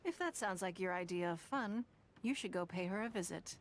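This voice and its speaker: A woman playing a poised, wealthy, shrewd businesswoman in a video game, measured and formal with a coy edge. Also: on the low side, expressive, a little hiss or hum. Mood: composed, inviting.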